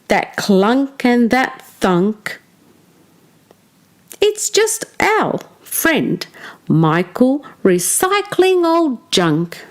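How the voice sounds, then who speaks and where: Slow, very lively woman reading to children. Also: medium pitch. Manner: expressive, deliberate phrasing for young listeners. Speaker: teacher reading a children's book aloud. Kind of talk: scripted children's storybook read-aloud.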